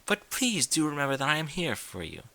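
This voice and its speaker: A man's voice: a little high, sing-song, a little fast, bright, forceful tone, no echo, pitched above the performer's own voice, full character voice. A man voicing a prim, fussy female pony in an audiobook, with genteel, theatrical, chiding diction. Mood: earnest, insistent. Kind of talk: audiobook character voice.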